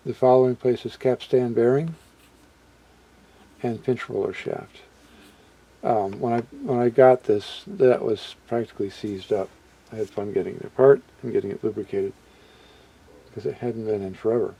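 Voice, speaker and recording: Mellow-voiced man, halting and musing aloud. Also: mid-range voice, steady tone, gravelly, breathy edge, dull audio. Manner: halting, musing aloud, trailing off mid-thought. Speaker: hobbyist video presenter. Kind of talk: casual unscripted product walkthrough.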